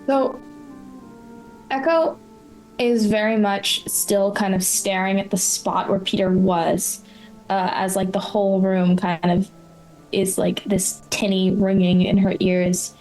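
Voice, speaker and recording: A woman playing a tabletop roleplaying game, casually improvising a description of what their character does, haltingly and informally. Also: medium pitch, flat delivery, a little mellow, soft background music, poor audio.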